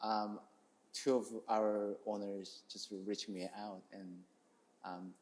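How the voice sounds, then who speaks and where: A man's voice: fairly low pitch, level tone, slow pace, a little mellow. A man from South Korea with a Korean accent, talking casually as a chef being interviewed, in hesitant, non-native English phrasing. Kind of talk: casual interview, telling their story.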